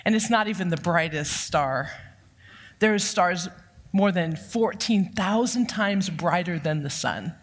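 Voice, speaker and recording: Loud-voiced woman preaching in a reverberant church. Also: lowish voice, unhurried. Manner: lively, humorous, anecdotal. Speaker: church counseling director giving the sermon. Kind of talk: personal storytelling sermon. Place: church sanctuary. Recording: long reverb of a church hall.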